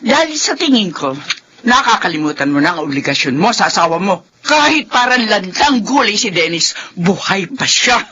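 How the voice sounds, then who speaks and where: A bitter older mother-in-law who scolds and accuses, her voice bright and cutting with a husky edge. Her pitch swings widely as she lectures. It comes through a dull, lo-fi radio broadcast. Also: big pitch swings, moderate pace, full character voice. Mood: scolding. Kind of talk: radio drama acting.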